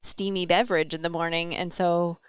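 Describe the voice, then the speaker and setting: A woman's voice: fairly low pitch, little pitch movement, brisk, clean tone, dry recording. A young adult woman co-hosting a podcast, talking in a candid, chatty way. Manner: candid, chatty, swears casually. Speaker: podcast co-host. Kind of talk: casual chat.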